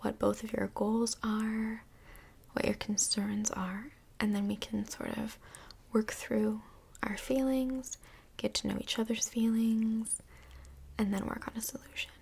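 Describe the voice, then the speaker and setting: Woman as Hell's stand-in relationship counselor, female, ASMR roleplay: soft, breathy, fairly high voice, slow and courteous, professional, tactful. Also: flat delivery, heavily acted. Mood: calm, tactful. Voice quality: breathy, whispery. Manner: measured, tactful, professional, hesitant pauses. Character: polite, busy woman filling in as Hell's couples counselor.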